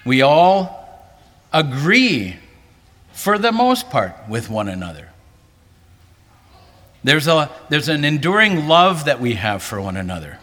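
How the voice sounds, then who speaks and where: A man's voice: sing-song, takes it slowly, pauses often, pushed and bright. A male pastor giving an earnest sermon to a convention, lifting his voice for emphasis. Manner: earnest, rising pitch for emphasis. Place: large hall, preaching to a convention. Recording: hall reverb.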